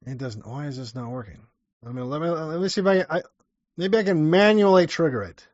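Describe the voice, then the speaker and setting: A man's voice: a little high, brisk, dry recording, muffled recording. A man hosting a livestream, reading viewer comments and riffing in a rambling, sardonic way. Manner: rambling, sardonic, many restarts and profanity. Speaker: livestream host.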